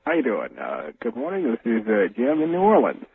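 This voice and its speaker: An American man calling a radio show by telephone, speaking casually in a halting, hesitant way and repeating himself. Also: medium pitch, huge pitch swings, creaky, a little hiss or hum. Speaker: radio phone-in caller. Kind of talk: casual phone-in talk. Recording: narrow, tinny phone line on radio.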